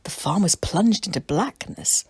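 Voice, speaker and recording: A woman's voice: fairly low pitch, lively intonation, measured pace, vocal fry, a little mellow, slightly rough, no room sound, clean studio sound. A woman narrating a children's storybook as its author and reader.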